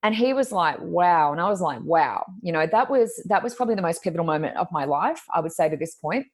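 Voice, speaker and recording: A woman with a loud voice, a podcast co-host telling a personal story in casual conversation and acting out her own thoughts. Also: lowish voice, lively intonation, fast.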